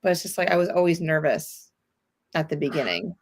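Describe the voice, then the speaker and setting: A woman's voice: low voice, lively intonation, brisk. A woman co-hosting a live sewing stream in a casual chat, agreeable and often murmuring assent. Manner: agreeable, frequent murmured backchannels.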